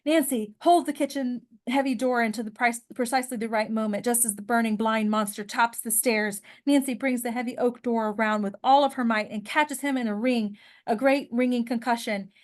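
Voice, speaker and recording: A woman's voice: a little high, fairly even intonation, fairly quick, few pauses. A woman narrating screenplay directions at a table read, now and then stumbling over words and rereading them. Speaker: narrator reading stage directions.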